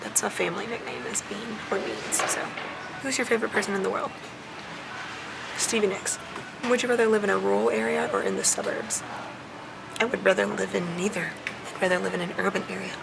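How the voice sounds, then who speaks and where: A young American woman with a lot of creak in her voice talks casually, like a vlogger answering questions for her viewers. She chews gum as she goes. Clear ambient noise sits behind her. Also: medium pitch, steady tone, a little fast, long pauses. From southern United States. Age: young adult. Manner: chewing gum while talking.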